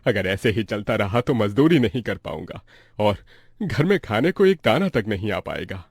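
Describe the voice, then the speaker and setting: A man playing a poor, worried labourer father who frets and gives orders in an animated moral story. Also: on the low side, moderate pace, no echo, studio quality. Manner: fretful, exhorting. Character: Devesh, poor worried labourer father, bossy but caring.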